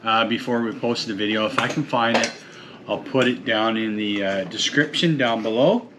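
A man's voice: fairly low pitch, moderate pace, noisy background. A middle-aged man hosting a casual home cooking vlog, chatting through the steps and talking to viewers as folks. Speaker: cooking show host. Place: home kitchen and dining room, indoors. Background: cutlery and dishes clinking.

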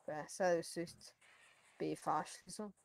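A man's voice: a little high, steady tone, creaky, a crowd in the background. A man improvising in a roleplay game as a paramedic tending a patient. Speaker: roleplay player acting a paramedic.

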